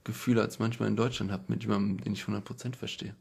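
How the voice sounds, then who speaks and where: Young man, podcast host, gravelly voice, relaxed anecdotes. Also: fairly low pitch, fast. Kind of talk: casual podcast conversation.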